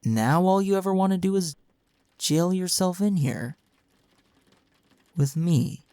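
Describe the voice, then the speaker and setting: A man playing a caring, frank young best friend in an intimate comfort audio roleplay, speaking in a soft, earnest, reassuring way. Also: sits high, expressive, takes it slowly, smooth, dry recording. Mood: frank, concerned.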